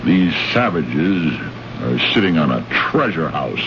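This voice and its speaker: An American actor playing a brash, greedy, condescending trade envoy from Earth in an old radio drama, talking in slangy, bullying fashion. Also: male voice, extremely low pitch, big pitch swings, measured pace, exaggerated voice. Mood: gloating, scornful. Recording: noisy, muffled old radio recording.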